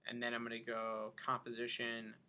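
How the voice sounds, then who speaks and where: Bright-voiced man narrating a casual tutorial. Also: mid-range voice, completely flat delivery, moderate pace, a little hiss or hum. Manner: informal, thinking aloud while demonstrating steps. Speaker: animation instructor.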